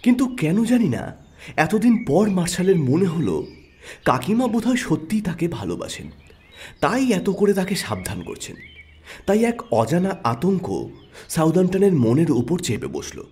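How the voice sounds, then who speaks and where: Husky, expressive male storyteller. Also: high voice, a little slow. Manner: expressive, rising and falling storytelling. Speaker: narrator. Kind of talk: storytelling narration.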